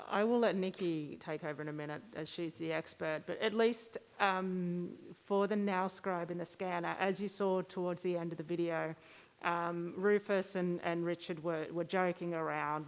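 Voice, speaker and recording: A woman moderating a question-and-answer session, speaking in hesitant, halting phrases. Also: fairly low pitch, steady tone.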